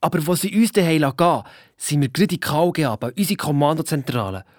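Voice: medium pitch, animated, fast talker, dry recording, studio quality, lightly acted. A performer playing a cheeky, boastful boy detective with a Swiss German accent, chipping in colloquially in a children's audio drama. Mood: excited. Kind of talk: first-person storytelling in a children's audio drama.

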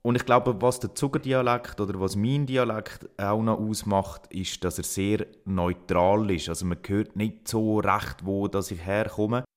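Low-voiced Swiss German man from Zug. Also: fairly even intonation, unhurried, hardly any pauses. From Zug, Switzerland. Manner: reflective, hesitant, with fillers. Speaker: contributor. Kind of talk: recorded personal statement.

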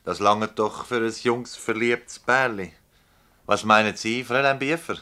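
An actor playing a brisk, authoritative public prosecutor in a Swiss German radio play, asking curt, probing questions in an official manner. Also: male voice, on the low side, sharp tone. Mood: wry.

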